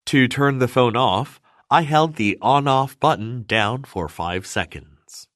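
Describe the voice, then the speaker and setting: A man narrates an English lesson in a middle-pitched voice. He goes slowly and pronounces everything with care, in a dry, echo-free recording. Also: fairly bright tone. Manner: careful, measured enunciation. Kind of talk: scripted first-person narration for learners.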